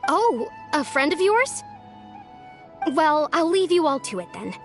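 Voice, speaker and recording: An actress voicing a sweet, polite young village woman in a video game, speaking gently. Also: high-pitched, sing-song, unhurried, soft background music, exaggerated voice. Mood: thoughtful, polite.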